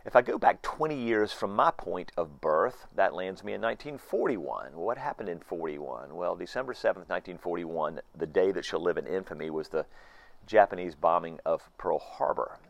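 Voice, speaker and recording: Hoarse, gravelly-voiced pastor in his fifties. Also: low voice, lively intonation, moderate pace. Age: 50s. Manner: earnest, reflective, with frequent restarts. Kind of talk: informal sermon. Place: screened back porch.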